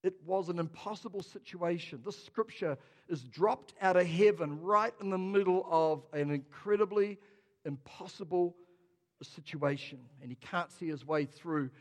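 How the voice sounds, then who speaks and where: A man's voice: high voice, measured pace. A man preaching a sermon as pastor, rousing and calling out questions to his congregation. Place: large church hall. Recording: long hall reverb.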